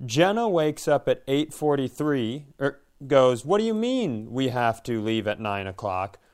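A man's voice: medium pitch, sing-song, measured pace, a little mellow, clear, resonant tone. A man co-hosting a podcast, chatting casually and telling comic stories with some swearing. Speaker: podcast co-host. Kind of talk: casual comedic banter.

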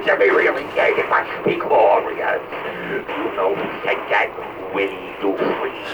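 A man playing a taunting, murderous undead villain in a horror radio drama, speaking slowly and theatrically. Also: low voice, big pitch swings, lo-fi sound. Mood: gloating menace. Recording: noisy, distant radio call-in line.

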